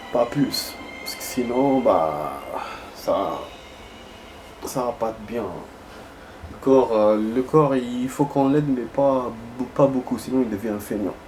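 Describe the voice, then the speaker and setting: A stuffy-nosed man with a slight lilt rambles through his gameplay commentary in a chatty, meandering way, talking to viewers like friends. Game music plays clearly under his mid-pitched voice. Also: a little slow. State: congested with a head cold. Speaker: gaming video host.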